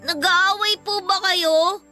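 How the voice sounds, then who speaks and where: A woman's voice: very high-pitched, steady tone, takes it slowly, bright, forceful tone, soft background music, heavily acted. A woman with a Filipino accent playing a sweet, polite little daughter in a radio drama. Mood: worried.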